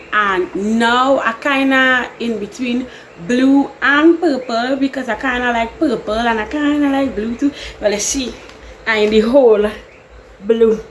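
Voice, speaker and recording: A woman's voice: medium pitch, a little hiss or hum, rough recording. A young woman from Trinidad and Tobago with a Trinidadian accent, chatting casually and rambling through a get-to-know-me Q&A video. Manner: chatty, rambling, laughs often. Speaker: YouTuber. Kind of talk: casual Q&A vlog.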